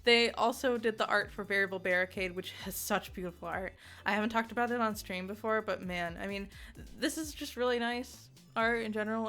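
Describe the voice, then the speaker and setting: A woman giving a casual, chatty game review, giggly and excitable, with rambling asides. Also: medium pitch. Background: music.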